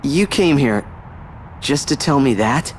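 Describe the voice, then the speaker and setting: An actor playing a young, hot-headed and earnest warrior son in a fantasy video game, with a boyish, emotional manner. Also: male voice, medium pitch, animated, takes it slowly, a little hiss or hum. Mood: defiant.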